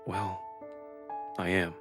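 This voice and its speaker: Male actor as gentle human lover, visual novel drama. Brief, caring, concerned replies, music underneath. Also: low voice, flat delivery, drawn-out words. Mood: soft, affectionate. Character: smaller human man, gentle, concerned, affectionate.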